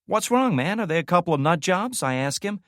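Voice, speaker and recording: A brash young soldier woman rattles off a raunchy story with loud, swearing glee, as a male narrator voices her. Her voice sits raised and bright over a dry, close audiobook read. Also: high-pitched, sing-song, a little fast, dry recording, voice pushed up. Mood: gleeful telling. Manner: boisterous, swearing, gleeful storyteller.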